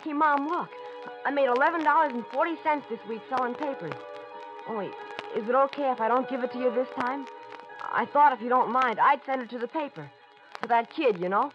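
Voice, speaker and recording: Performer as eager newspaper boy, very high youthful voice, vintage radio acting with loud music behind. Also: brisk, close to an everyday voice. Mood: eager, hesitant. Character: eager, generous young paperboy talking to his mother. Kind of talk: old-time radio acting. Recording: dull old radio recording, loud music.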